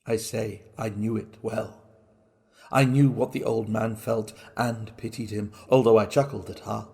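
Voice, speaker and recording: A man acting a cunning, boastful madman confessing a murder in a dramatic gothic horror reading, with theatrical, drawn-out phrasing. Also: medium pitch, vocal fry, darkish tone, close to an everyday voice. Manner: theatrical, deliberate, drawn-out words.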